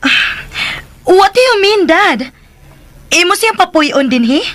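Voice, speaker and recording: A woman's voice: extremely high pitch, lively intonation, takes it slowly, a bit bright, full character voice. A woman acting a spoiled rich young daughter in a Filipino radio drama, exclamatory, with a Filipino accent. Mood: indignant. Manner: exclamatory, drops in English phrases.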